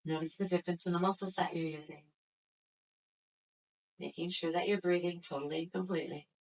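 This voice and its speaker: A woman instructor talks a class through floor stretches and breathing. Her voice sits a little low, and she gives each cue slowly and gently in a soothing way. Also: fairly even intonation, low-quality recording. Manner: slow, gentle, soothing cues. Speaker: yoga instructor. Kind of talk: guided exercise instruction.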